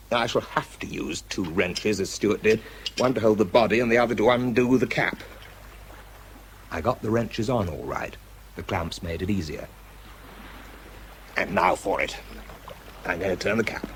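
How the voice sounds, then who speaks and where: An actor with a British accent playing a tense, self-doubting wartime bomb disposal man in a radio drama, shifting between clipped reports and quiet confession. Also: low voice, expressive, normal speed, long pauses, a little hiss or hum. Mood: tense, concentrated. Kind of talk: radio drama acting, first-person narration and spoken report.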